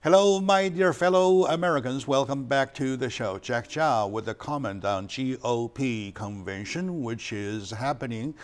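An older man hosts a studio talk show, animated and addressing the audience with many fillers. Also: medium pitch, a little slow, hardly any pauses. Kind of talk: studio interview. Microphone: lapel mic.

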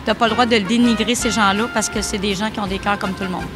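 A woman's voice: mid-range voice, steady tone, quick pace, music in the background. A middle-aged woman with a Québécois accent, answering a street interviewer earnestly and with indignation. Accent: Québécois French. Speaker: passerby. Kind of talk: vox pop answers. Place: indoor shopping mall. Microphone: handheld mic held by interviewer.

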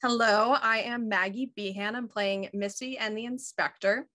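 Young woman panel guest, bright punchy voice, playful casual chat. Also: mid-range voice, fairly even intonation, moderate pace. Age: young adult. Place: room at home, video call.

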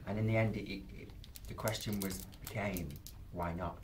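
A man in his thirties answering interview questions in a casual, halting way with restarts and dry teasing, speaking into a handheld mic in a hotel conference room. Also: deep voice, fairly even intonation, noisy background. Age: 30s. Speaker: band member.

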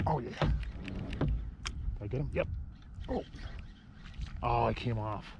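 Casual male fishing vlogger, middle-aged, mid-pitched and easygoing, recorded outdoors on an action cam with clear background noise. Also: takes it slowly, muffled recording. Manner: relaxed, rambling, talks to camera while casting. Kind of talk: casual narration while fishing. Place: in a kayak on a pond outdoors. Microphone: action camera behind his head. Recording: outdoor camera audio with steady noise.

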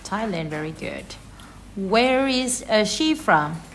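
A woman English teacher with a Vietnamese accent runs a speaking drill, firing off short, patient questions and quick corrections at an even middle pitch. A faint hum of noise sits behind her voice. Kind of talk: prompting a child in a speaking drill.

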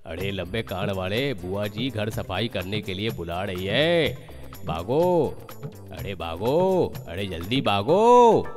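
A man's voice: fairly low pitch, big pitch swings, takes it slowly, darkish tone, long reverb tail, soft background music. A man voicing a burly, bossy, scheming strongman hero in a children's cartoon, with a commanding delivery. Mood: urgent, bossy. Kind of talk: Hindi children's cartoon voice acting.

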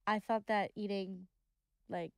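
A young woman in her late teens, a podcast guest, telling stories with a laugh in casual family chat on a boom mic in a cozy living-room studio. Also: steady tone, takes it slowly, gravelly, a little mellow, clear, resonant tone, no room sound. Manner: laughing, chatty storyteller. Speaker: guest, host's daughter. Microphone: boom-arm studio mic.

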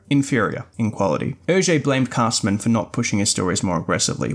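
A man hosting a podcast, reading a scripted, essay-like review in a measured, articulate and formal manner. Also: medium pitch. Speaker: podcast host.

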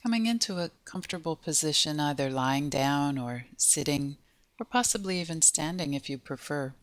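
A woman guiding a body scan meditation in a gentle, unhurried way, with pauses between short phrases. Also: deep voice, animated, no echo. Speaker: meditation guide.

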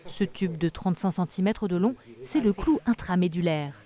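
A woman reading a scripted documentary voice-over in a measured, even way. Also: lowish voice. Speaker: documentary narrator. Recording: voice-over with music behind. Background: music.

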